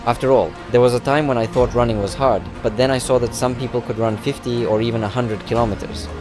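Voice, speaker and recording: A man tells the story of his own endurance run in a calm, earnest voice-over at an ordinary mid pitch. He recounts events step by step, and music plays clearly beneath him. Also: fast talker. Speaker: runner telling his own challenge. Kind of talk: reflective voice-over narration.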